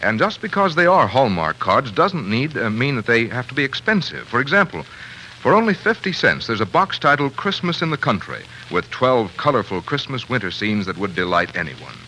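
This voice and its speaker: Male 1940s radio pitchman: emphatic and polished, very expressive intonation, crisp forward tone, old slightly noisy broadcast. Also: normal speed. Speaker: commercial announcer. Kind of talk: scripted 1940s radio advertisement.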